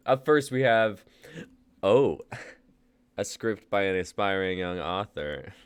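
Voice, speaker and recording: A man's voice: fairly low pitch, sing-song, extremely slow, long pauses, clear, resonant tone, no room sound. A young man in his twenties hosting a live script reading, laughing easily and reading the stage directions. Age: 20s. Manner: laid-back, laughing, uses y'all. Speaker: host and playwright.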